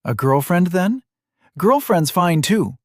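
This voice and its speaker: A man voicing a doting middle-aged father who gently pries into his daughter's life. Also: sits high, very animated, slow, dry recording, studio quality, pitched above the performer's own voice, exaggerated voice. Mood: teasing.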